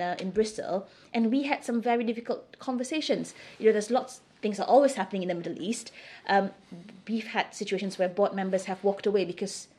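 A woman's voice: mid-range voice, darkish tone. A middle-aged woman from Singapore answering interview questions in a candid, emphatic, conversational way. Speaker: interview guest.

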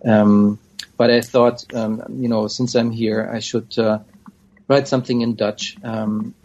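A man, a scholar being interviewed, answering in a hesitant, thoughtful way with frequent pauses. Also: fairly low pitch, little pitch movement, darkish tone. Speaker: scholar of Islamic studies, guest. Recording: dull lo-fi audio.

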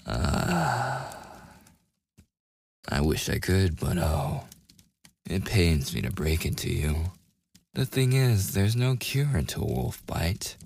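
Casting: male actor as taunting, possessive werewolf for ASMR roleplay. Extremely low register, husky and breathy, menacing. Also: sing-song, darkish tone, exaggerated voice. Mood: mock regretful. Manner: slow, teasing, menacing. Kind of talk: boyfriend ASMR audio roleplay acting.

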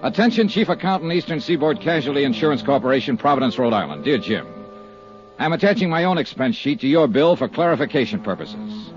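An actor playing a tough, businesslike private investigator in a 1950s radio drama, speaking in a clipped, hard-boiled way. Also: male voice, medium pitch, big pitch swings, fast, natural voice. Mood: brisk, matter-of-fact. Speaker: lead actor and narrator. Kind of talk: 1950s radio drama acting and first-person narration. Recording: muffled 1950s radio recording with music.

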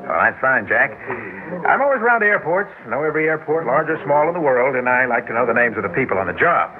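An actor playing a suave, hearty, well-off traveler with a breezy, genial manner in a radio drama. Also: male voice, medium pitch, very animated, fast talker, crowd noise, close to an everyday voice. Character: suave, hearty, wealthy jet-setting regular, ageless man. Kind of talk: 1960s radio drama acting. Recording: noisy tinny old radio recording.

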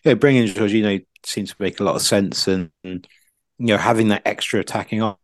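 A man chatting casually as a football pundit, thinking aloud in a halting, circling way. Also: on the low side, fairly even intonation, no room sound.

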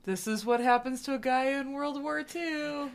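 A woman's voice: sits high, moderate pace, gravelly. A young woman co-hosting a casual movie podcast, tossing in teasing jokes and running gags. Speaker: podcast co-host.

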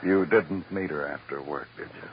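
An actor playing a tough American police detective in a 1950s radio drama, with clipped, pressing, accusatory questioning. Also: male voice, extremely low pitch, normal speed. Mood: quietly accusing. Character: tough, probing male police detective interrogating suspects. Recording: dull, noisy vintage radio recording.

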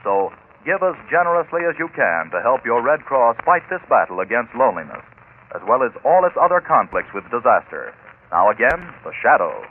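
A man's voice: mid-range voice, very animated, brisk. A man reading a scripted appeal as a 1940s radio announcer, earnest and formal. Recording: tinny, noisy old radio broadcast.

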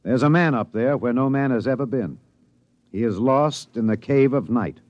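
A man's voice: medium pitch, normal speed, darkish tone, no room sound, natural voice. An actor playing a driven, candid radio newsman and narrator in a 1950s radio drama. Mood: grave, dramatic. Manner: off-the-cuff asides, then grave dramatic delivery. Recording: dry, dull old radio recording.

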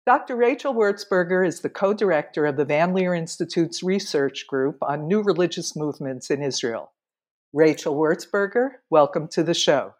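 A woman hosts an interview show, opening with a prepared welcome and introduction. Her voice is notably low for a woman. She speaks in a clear, measured way. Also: expressive. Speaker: podcast host.

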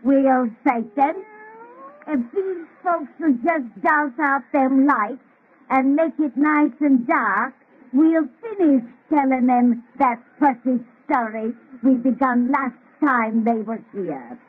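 A woman's voice: sits high, slow pace, husky, heavily acted. An actress playing a gleeful, folksy hundred-year-old witch who tells horror stories on an old radio show. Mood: gleeful, sinister. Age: older. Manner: folksy, rustic, gloating. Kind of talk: 1930s radio horror host acting. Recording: thin, tinny old radio recording.

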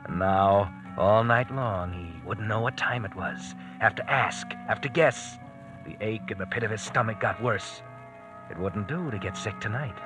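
An actor playing a grim, needling thriller narrator in a classic radio drama, clipped and suspenseful. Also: male voice, lowish voice, lightly acted, low-quality recording.

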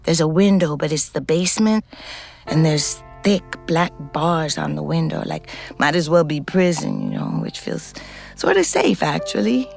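An actress playing a weary, foul-mouthed middle-aged ex-convict, telling her story in a halting, blunt, confessional way. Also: low-pitched, huge pitch swings, creaky, darkish tone, slightly rough, soft background music, full character voice. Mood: stoned, reflective. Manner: halting, blunt, conversational, profane. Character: weary, foul-mouthed, wary woman ex-convict, a rootless New Yorker. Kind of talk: confessional fiction podcast narration and dialogue.

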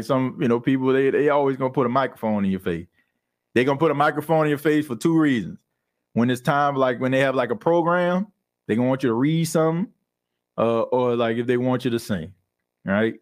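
A young man hosting a podcast in casual chat, laughing often and slipping into animated impressions. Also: mid-range voice. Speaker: podcast host. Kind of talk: casual chat reading live comments.

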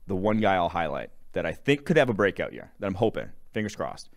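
Deep voiced young guy from wisconsin on a football podcast, reeling off names and picks. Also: quick pace. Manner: animated, rattles off player lists. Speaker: podcast co-host, draft analyst. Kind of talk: casual sports analysis chat.

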